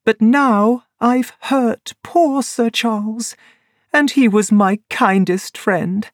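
A woman's voice: slow pace, no echo. A reader voicing an upset young woman who pleads and confesses, in a dry, close recording. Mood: regretful. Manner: emotional, high and strained. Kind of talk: audiobook character reading.

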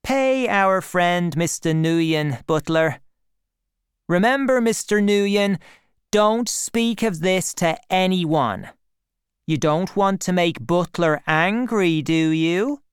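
A woman's voice: lowish voice, lively intonation, takes it slowly, clear, resonant tone, dry recording, clean studio sound, voice pushed up. A woman voicing a confident, cunning boy in a storybook, speaking in an assured, commanding way.

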